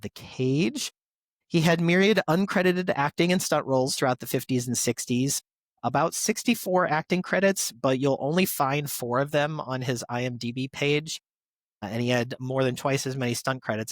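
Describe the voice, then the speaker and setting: Guy hosting a podcast, reading out tributes like an announcer but a keen fan, medium pitch, super dry close sound. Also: no echo. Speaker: podcast host. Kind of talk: reading birthday tributes to actors, semi-scripted podcast segment.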